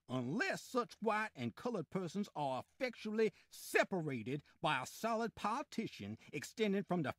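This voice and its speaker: A man formally declares the wording of old laws. His pitch moves widely across each sentence, and the sound is dry and close. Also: a bit bright, no echo. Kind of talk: solemn reading of historical legal text.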